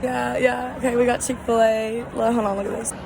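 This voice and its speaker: A college freshman in her late teens, chatting in a casual vlog with a slightly hoarse voice as she loses it, rambling with many "like" fillers. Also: on the high side, level tone, fairly quick, crowd noise, noisy background.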